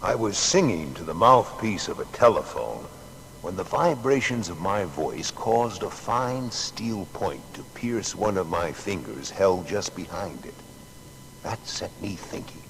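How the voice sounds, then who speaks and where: A deep-voiced, matter-of-fact male inventor in a dramatised audio biography. He speaks deliberately and plainly, with expressive intonation. Some noise sits behind the voice. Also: creaky, exaggerated voice, low-quality recording. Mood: reflective, determined. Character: Thomas Edison, earnest, matter-of-fact American inventor.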